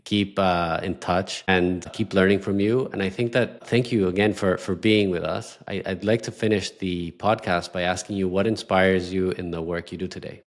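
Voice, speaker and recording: A man raised in Ecuador hosting a podcast interview, talking in a halting way and often repeating words. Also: deep voice, fairly even intonation. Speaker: podcast host. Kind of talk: casual interview talk.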